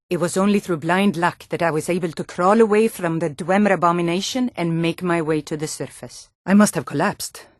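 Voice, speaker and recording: A proud, hardy Nord warrior woman from a fantasy video game, played by an actress. Her voice sits on the low side and is recorded dry and close. She speaks firmly and plainly, with an earnest air. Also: a little mellow, dry recording, close to an everyday voice. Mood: grave, wistful.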